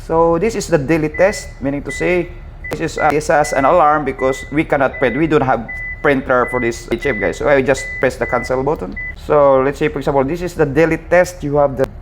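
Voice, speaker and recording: A man with a Filipino accent giving an informal hands-on equipment tutorial, speaking haltingly and repeating himself as he addresses viewers casually. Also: on the high side, fairly even intonation, music in the background. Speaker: seaman presenting a tutorial. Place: ship's bridge. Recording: clear ambient background noise.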